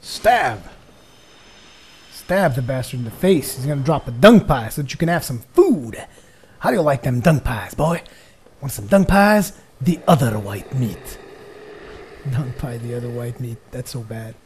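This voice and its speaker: A young man from Portugal, a native Portuguese speaker, giving jokey rambling let's play commentary on a headset as his voice grows tired and hoarse. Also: mid-range voice, expressive, measured pace, darkish tone, a little hiss or hum. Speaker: gaming commentator.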